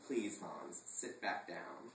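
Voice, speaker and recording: A man doing a soft, soothing partner. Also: deep voice, animated, takes it slowly, a little hiss or hum, voice pushed down, heavily acted. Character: gentle, soothing middle-aged man, the father's partner. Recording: echoey room, distant mic, faint ambience.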